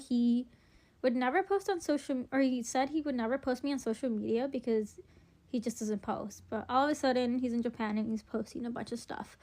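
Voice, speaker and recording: A woman's voice: on the high side, darkish tone. A young woman chatting casually through a relationship storytime while doing her makeup, rambling with lots of ums and likes and a wry edge.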